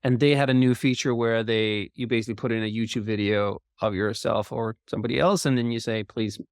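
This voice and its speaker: A man who speaks English co-hosts a podcast interview. His voice sits at a middle pitch. He talks in a reflective, loosely phrased way with many fillers, and the recording is dry and close. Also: fairly even intonation, a little fast, clear, resonant tone, no room sound. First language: Danish. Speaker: podcast co-host. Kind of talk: conversational interview.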